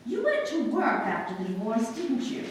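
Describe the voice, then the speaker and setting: A woman playing a sharp-tongued, imperious young society bride in a live radio drama. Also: on the high side, huge pitch swings, moderate pace. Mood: cutting. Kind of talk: live old-time radio play acting. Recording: echoey hall, distant mic, faint noise.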